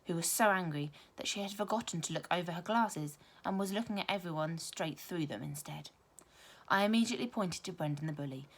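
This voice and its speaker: A woman teacher reading a children's story aloud, with expressive, emphatic storytelling. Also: lowish voice.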